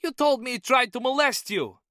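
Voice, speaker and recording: A man's voice: very high-pitched, expressive, brisk, bright, forceful tone, dry recording, studio quality, pitched above the performer's own voice, full character voice. A man voicing a shocked young male thug in an audiobook, startled and stammering. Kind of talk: audiobook character voice.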